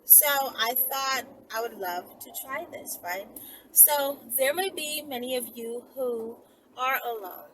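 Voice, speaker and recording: A woman's voice: fairly high pitch, a bit bright. A woman talking casually to her viewers as a channel host, rambling as she gives step-by-step instructions. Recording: clearly noisy, with background ambience.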